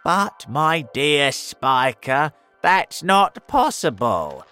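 A reader voicing a greedy, loud, bossy old aunt in a storybook reading, bellowing in slow drawn-out words. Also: medium pitch, sing-song, faint music behind, voice pushed up, exaggerated voice.

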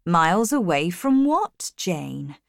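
A woman voicing an ardent, commanding gentleman in love, earnest and intense, in a storybook reading. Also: lowish voice, big pitch swings, slow, vocal fry, no room sound, studio quality, heavily acted.

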